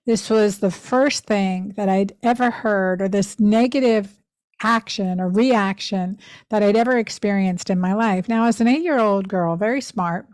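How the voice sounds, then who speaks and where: Podcast host, woman, 50s, from Pennsylvania; heartfelt reflective storytelling, medium pitch, dry room. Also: a little slow, darkish tone, no echo. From Pennsylvania, USA. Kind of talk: personal storytelling monologue.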